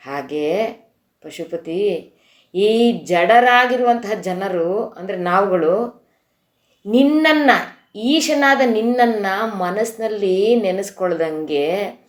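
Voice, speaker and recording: A woman's voice: fairly low pitch, darkish tone. Woman giving a devotional talk, echoey room. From Karnataka, India. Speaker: devotional speaker. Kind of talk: informal spiritual discourse explaining a Sanskrit verse.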